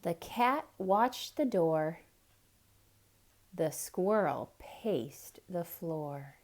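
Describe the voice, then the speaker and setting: A woman reading a picture book aloud to young children as a dramatic, expressive storyteller, with explanatory asides. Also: on the low side, very slow.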